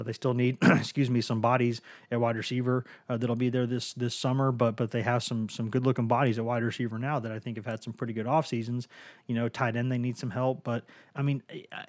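A hoarse man hosting a sports podcast, talking casually and often restarting his sentences with repeated words. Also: medium pitch, a little mellow, no echo. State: hoarse from a lingering cough.

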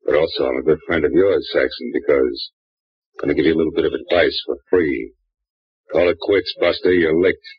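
A man's voice: very deep voice, a little fast, breathy edge, no echo, heavily acted. An actor playing a wisecracking, hard-boiled male private eye who also narrates, in a 1940s radio drama. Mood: wry. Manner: dry, wisecracking, clipped. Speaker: narrator and lead. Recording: dull old radio recording with music.

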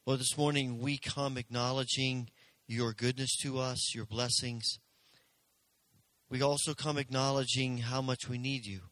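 A man's voice: medium pitch, fairly even intonation, fairly bright tone, dry recording, poor audio. Earnest man leading prayer. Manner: slow, earnest. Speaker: pastor. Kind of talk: spoken prayer.

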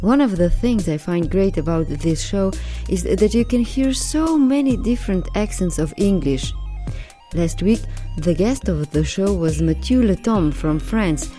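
A woman hosting a podcast, reading a clear, measured, rehearsed introduction. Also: fairly low pitch, mellow. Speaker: podcast host. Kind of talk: scripted podcast intro and interview questions.

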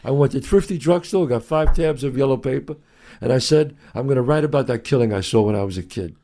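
A man's voice: medium pitch, fast talker. A man, a guest telling stories and acting out the lines of people in them. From New York. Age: 60s. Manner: animated storyteller who acts out quotes. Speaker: guest, actor and writer. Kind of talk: storytelling in an interview.

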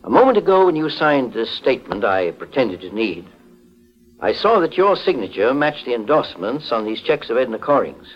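An actor playing a calm, courteous but stern private investigator, formal and authoritative, in a 1940s radio detective drama. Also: male voice, medium pitch, normal speed, faint music behind, lightly acted. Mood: accusing, stern. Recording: muffled 1940s radio recording.

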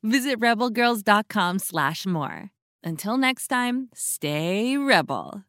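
A woman's voice: medium pitch, animated, takes it slowly, a bit bright, dry recording, clean studio sound. A woman giving a friendly, upbeat scripted podcast outro to young listeners. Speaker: podcast announcer.

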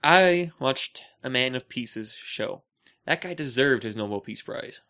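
A man with a bright, forceful voice chats casually as a podcast co-host. He rambles along in an animated, joking manner, playing at indignation. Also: medium pitch, unhurried.

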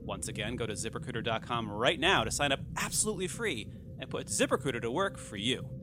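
A man's voice: mid-range voice, lively intonation, fast talker, music in the background. A man presenting a scripted podcast advert in a conversational style.

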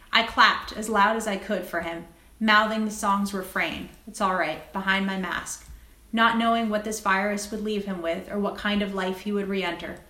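A woman speaking as a guest doctor and writer, articulate and measured, in an interview and reading from her own essay. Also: mid-range voice, bright, forceful tone. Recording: roomy, echoing sound.

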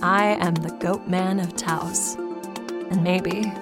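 A weary, earnest investigator narrates an audio drama in a low register. The delivery is dry and deadpan, with loud music running underneath. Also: female voice, slow. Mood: weary, reflective. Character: weary, earnest male podcast investigator telling his strange story. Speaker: narrator and lead character.

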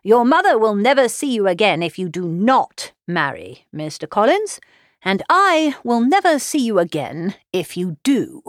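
Woman playing a deadpan, sardonic father. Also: medium pitch, no echo, studio quality, pitched above the performer's own voice. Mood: mock-stern. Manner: deadpan, measured, formal. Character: calm, dry-witted middle-aged father, unconcerned and sardonic. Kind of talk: audiobook character voice, classic novel.

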